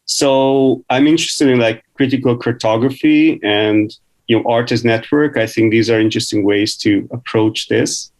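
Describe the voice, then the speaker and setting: A man's voice: medium pitch, fairly even intonation, moderate pace. A man, an academic, who moderates a panel and searches thoughtfully for his words. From Hungary. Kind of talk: panel conversation.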